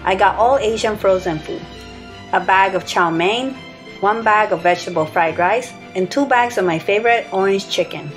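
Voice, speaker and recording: A woman in her thirties or forties reading a scripted voice-over, listing her grocery purchases in an even, matter-of-fact way. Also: mid-range voice, moderate pace. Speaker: grocery haul vlogger. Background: soft background music.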